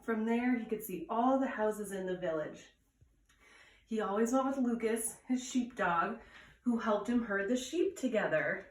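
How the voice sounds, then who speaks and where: A woman's voice: on the high side, unhurried. A woman reading a children's story aloud as a storytime reader, recorded indoors at home. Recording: roomy echo, distant from mic.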